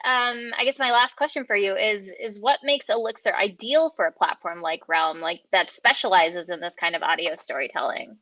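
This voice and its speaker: A woman's voice: mid-range voice, moderate pace, few pauses, a bit bright, no room sound, dull audio. A woman hosting a casual online interview, with an enthusiastic, friendly manner. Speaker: interviewer, host.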